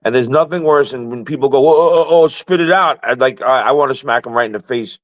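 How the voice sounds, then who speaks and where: A man's voice: medium pitch, fast, dry recording. A man speaking as a guest in a casual call-in chat, blunt and profane, with a stutter that makes him repeat words as he starts.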